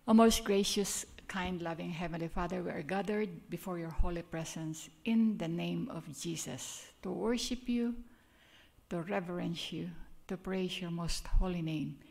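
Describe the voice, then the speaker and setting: A woman's voice: on the low side, measured pace, gravelly, darkish tone. An older woman from the Philippines with a Filipino accent, leading a prayer and preaching a church sermon in an earnest, chatty way. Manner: earnest, chatty, laughs at herself. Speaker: guest speaker.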